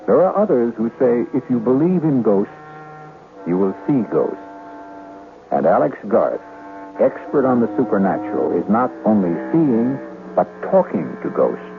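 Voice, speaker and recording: A man hosting a 1970s radio mystery, narrating in a theatrical, deliberate, dramatic manner. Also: medium pitch, big pitch swings, creaky, over music. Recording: thin, tinny 1970s radio broadcast.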